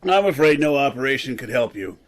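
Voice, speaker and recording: A man playing a blunt, haunted, hard-drinking professor in an old-time radio drama, formal and deliberate. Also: medium pitch, lively intonation, lightly acted. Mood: weary, frank.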